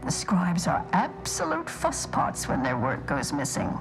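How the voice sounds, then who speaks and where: A woman's voice: lowish voice, huge pitch swings, a little slow, gravelly, music in the background, exaggerated voice. A woman playing a prim, pedantic scholar of a stoic order, speaking formally and precisely in a video game. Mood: prim, measured. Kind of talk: video game dialogue acting.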